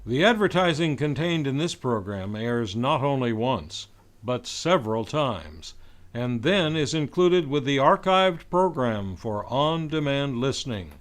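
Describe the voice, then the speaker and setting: A man's voice: medium pitch, big pitch swings, unhurried. A man reading a scripted radio advertisement as an announcer.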